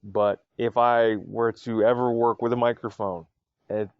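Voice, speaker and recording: Guy hosting his podcast, chatty and opinionated, mid pitch, dry slightly muffled sound. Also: steady tone, a little slow, smooth, no echo. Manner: chatty, opinionated, many asides. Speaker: podcast host. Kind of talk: casual solo podcast talk.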